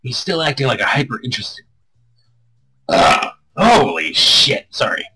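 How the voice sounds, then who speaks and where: Husky, bright-voiced man reading aloud. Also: medium pitch, sing-song, unhurried, rough recording. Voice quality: husky, strained throat. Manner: crude, joking, stumbles over lines. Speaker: streamer reading a visual novel aloud. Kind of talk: expressive read-aloud with casual banter.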